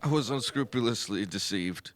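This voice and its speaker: A man playing an eager, nerdy American high school boy in a fantasy audio drama, with an excitable manner. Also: moderate pace, natural voice. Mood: curious.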